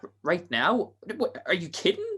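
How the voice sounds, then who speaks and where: A man's voice: high voice, very animated, normal speed, pushed and bright, breathy edge, exaggerated voice. Man playing a nervous, stammering gangster henchman. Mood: doubtful, uneasy. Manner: hesitant, stammering, slangy. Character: nervous, slow-witted gangster henchman, male. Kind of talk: radio play acting.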